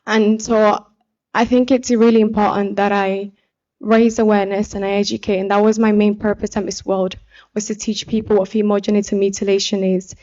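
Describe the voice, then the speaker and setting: A young woman from Somalia giving a personal testimony speech as an activist, speaking in an earnest, deliberate way. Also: medium pitch, level tone, dark tone, highs cut off. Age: young adult. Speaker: pageant contestant and activist speaker.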